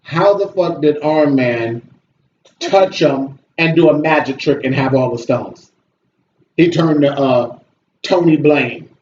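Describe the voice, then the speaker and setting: Male vlog reviewer, mellow but blunt and sweary, casual delivery, roomy lo-fi sound with faint noise. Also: on the high side, slow pace. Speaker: video host and movie reviewer. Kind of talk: casual opinionated movie review chat. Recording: roomy echo, dull audio, slight noise.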